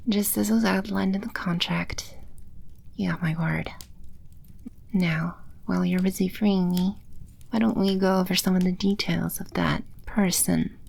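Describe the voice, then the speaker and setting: A woman playing a playful, teasing demon empress in an ASMR audio roleplay, speaking with unhurried, coy confidence. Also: fairly low pitch, steady tone, vocal fry, darkish tone, heavily acted. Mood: teasing, reassuring. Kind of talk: ASMR audio roleplay, spoken close to the listener.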